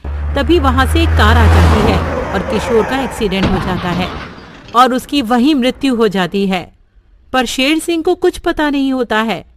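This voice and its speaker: Brief: female storyteller, plain steady narration, higher voice, dry recording. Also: no echo. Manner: steady, simple storybook telling.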